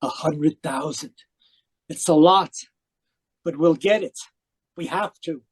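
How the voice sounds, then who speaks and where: A man acts out a fanatical younger brother in a radio mystery play. His voice sits high for a man, with fervent, pleading intensity and an obsessive, desperate drive. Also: slow pace, husky. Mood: urgent, determined. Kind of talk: old-time radio mystery acting, dramatic narration. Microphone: home microphone on a video call.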